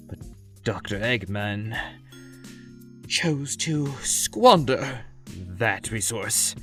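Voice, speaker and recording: A man's voice: on the low side, a bit bright. A man playing an arrogant, scheming villainous scientist in a comic dub, speaking in precise, wordy, pompous diction. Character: arrogant, calculating villainous male doctor scientist, theatrical schemer.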